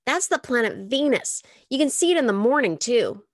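A woman's voice: on the high side, sing-song, normal speed, dry recording, clean studio sound, pitched above the performer's own voice. A woman voicing a teasing, easygoing teenage older brother in an audiobook, speaking in an offhand, mocking manner.

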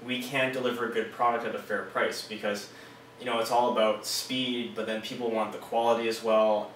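A man's voice: fairly low pitch, a bit bright, slight background noise. A man in his thirties from Canada, casually explaining to camera from a small office with hand gestures. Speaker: car audio installer and vlogger. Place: small office with monitors, indoors. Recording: roomy sound from a distant mic.

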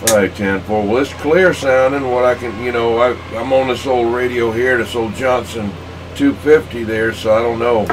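A man's voice: medium pitch, steady tone, moderate pace. A man talking casually on CB radio, easygoing and rambling. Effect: two-way radio transmission. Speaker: CB radio operator. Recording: noisy, distant sound, CB radio session.

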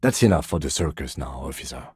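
A man playing a composed, by-the-book police detective in a video game, speaking in a measured, reserved, matter-of-fact way. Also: a bass voice, big pitch swings, a little mellow, slightly rough, no echo, clean studio sound, exaggerated voice. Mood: composed, dry. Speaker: voice actor. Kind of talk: video game character dialogue.

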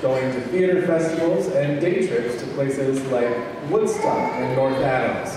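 Unhurried male narrator in an echoey hall. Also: medium pitch, steady tone, noisy background. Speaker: narrator of a puppet show. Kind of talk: scripted narration read live to an audience. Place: large event hall at a wedding reception. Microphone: handheld PA microphone, recorded by distant camera. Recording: echoey hall from far off, loud crowd.

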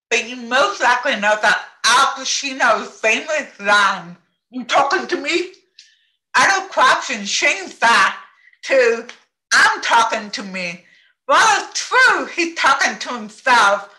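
A woman with a deaf accent presents over a video call, her voice bright and her pitch rising and falling a lot. She speaks carefully, and the room around her sounds echoey. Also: sing-song, a little slow. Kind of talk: live talk on captioning. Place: home office with bookshelves.

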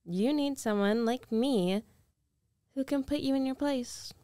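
A woman's voice: high-pitched, dark tone, no echo. Woman acting a dominant rich ex-girlfriend, close and dry. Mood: smug coaxing. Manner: slow, coaxing, self-assured, condescending. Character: rich, domineering, possessive young ex-girlfriend coaxing her lover back. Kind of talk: intimate ASMR girlfriend roleplay. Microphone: close microphone, dry.

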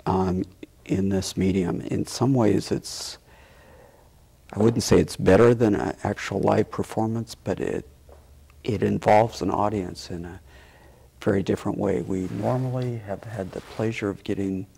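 A middle-aged man with a gravelly, husky voice, explaining things in a halting, measured way as an interview guest. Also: fairly low pitch, steady tone, warm tone. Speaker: theatre board member and director, guest. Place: small TV studio, seated at a table. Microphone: lapel mic.

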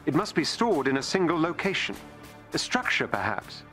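A man playing a formal, unflappable AI butler assistant in a video game, with loud music and gunfire behind him. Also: fairly high pitch, very animated. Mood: composed, solicitous. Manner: formal, polite, measured.

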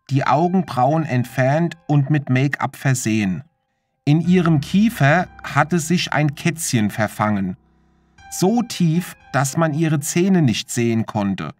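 A narrator reading a scripted horror story in a measured, serious manner. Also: medium pitch, darkish tone. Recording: eerie music under the voice. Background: horror background music.

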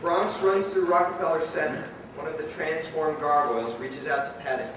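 A man's voice: a little high, steady tone, normal speed. A man reading scripted narration for a radio play staged live. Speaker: narrator and director. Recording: very echoey hall, far mic, noisy.

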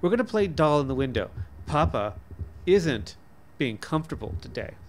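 A man's voice: fairly high pitch, sing-song, normal speed, a little hiss or hum. Man reading as a demure little girl storyteller. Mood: earnest, demure. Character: earnest little girl telling a pointed story.

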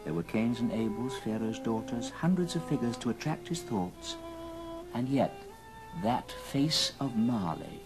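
A man narrating a story dramatically, with deliberate pauses, in old radio drama style. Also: medium pitch, expressive, music in the background, poor audio.

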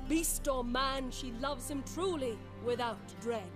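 High, stately noblewoman. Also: unhurried, music in the background. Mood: contrite. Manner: theatrical, declaiming in verse. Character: noble mother of a princess, grandly dramatic. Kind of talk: stage play declamation.

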